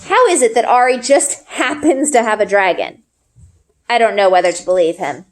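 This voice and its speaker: Animated woman reading a storybook, higher voice. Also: normal speed. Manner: animated, acting out dialogue. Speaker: teacher reading aloud. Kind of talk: expressive storybook read-aloud.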